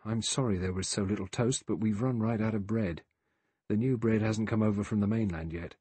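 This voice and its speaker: A man's voice: fairly low pitch, fairly quick, mellow, slightly rough, dry recording. A man voicing a deferential, apologetic butler in an audiobook mystery. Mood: restrained, deferential. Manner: servile, apologetic. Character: deferential male butler, restrained but easily rattled.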